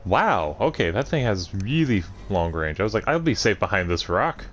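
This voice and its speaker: A man's voice: on the low side, very animated, moderate pace, a little hiss or hum. A man hosting a gaming video with casual let's play commentary.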